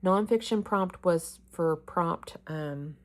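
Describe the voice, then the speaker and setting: A woman's voice: on the low side, slow pace, slight background noise. A woman chatting casually about her reading challenge plans, rambling and restarting as she thinks aloud.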